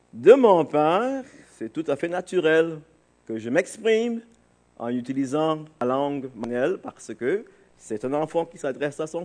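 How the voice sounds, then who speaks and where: Higher-voiced man preaching, halting delivery. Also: a little mellow. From Barbados. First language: English. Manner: halting, searching for words. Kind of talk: informal sermon to a congregation.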